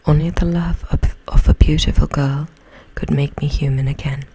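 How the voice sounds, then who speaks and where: A woman voicing a gentle, earnest young fairy-tale prince in a slow, soft, breathy whisper. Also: sits low, steady tone. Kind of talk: whispered bedtime storybook acting.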